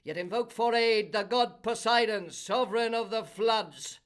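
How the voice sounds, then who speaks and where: A man voicing a band of one-eyed giants calling out loudly from outside a cave in a read-aloud epic tale. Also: high-pitched, a little slow, voice pushed up. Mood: alarmed.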